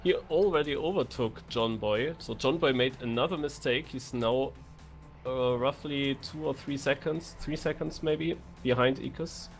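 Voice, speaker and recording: Mid-pitched male esports co-commentator, halting delivery. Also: vocal fry, soft background music. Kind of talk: live video game race commentary.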